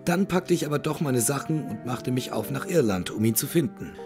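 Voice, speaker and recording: A man playing a grave, courteous older gentleman haunted by tragedy, speaking formally and with measure in a German audio drama. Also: mid-range voice, lively intonation, brisk, music in the background, natural voice. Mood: grave, bitter.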